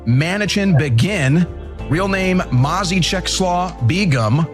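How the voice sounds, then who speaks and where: Man, panelist, reading a list, fast, fairly high pitch, music behind. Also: expressive. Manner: fast and forceful.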